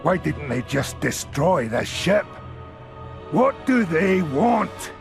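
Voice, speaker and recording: A blunt sardonic marine, creaky voice, slow talker, sci-fi drama. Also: male voice, fairly high pitch, very animated, soft background music, heavily acted. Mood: alarmed. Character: gruff, sardonic adult male space marine. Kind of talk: science fiction audio drama acting.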